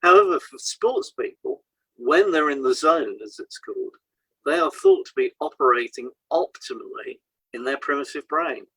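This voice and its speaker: A man with a husky voice at a medium pitch reads his part of a scripted podcast discussion. He explains things deliberately, point by point. The recording is very dry, without room sound. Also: sing-song, fairly bright tone, no echo, rough recording. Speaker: podcast co-host, hypnotherapist.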